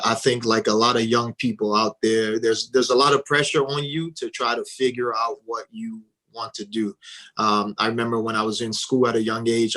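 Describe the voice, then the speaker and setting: A man's voice: medium pitch, pushed and bright, low-quality recording. A man in his thirties from Liberia, a radio interview guest, talking earnestly with touches of humor. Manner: earnest, measured, with light humor.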